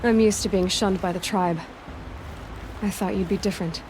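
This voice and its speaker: An actress playing a determined young outcast hunter who asks direct, probing questions. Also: female voice, medium pitch, fairly even intonation, moderate pace, darkish tone, close to an everyday voice. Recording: game audio with ambient noise.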